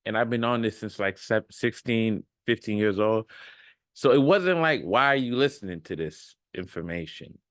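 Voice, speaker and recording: A man in his thirties speaks in a fairly low register, very close and dry on a big microphone. He delivers a casual, unscripted motivational monologue in informal, slangy language, repeating phrases for emphasis. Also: expressive, normal speed, fairly bright tone, no room sound. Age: 30s. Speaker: self-improvement content creator. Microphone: large microphone up close.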